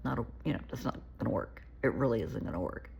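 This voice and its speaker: A woman therapist giving casual, earnest advice to camera, her voice gravelly and shaky from an oncoming cold, often pleading and repeating herself. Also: extremely low pitch, lively intonation, fast talker, darkish tone, slight background noise. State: getting a cold.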